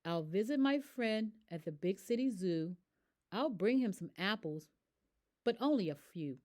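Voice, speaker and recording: A woman reads a children's picture book aloud in rhyme. Her delivery is slow and emphatic, with a medium pitch that rises and falls in very lively swings. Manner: expressive, emphatic. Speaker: storyteller. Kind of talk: read-aloud children's rhyming story.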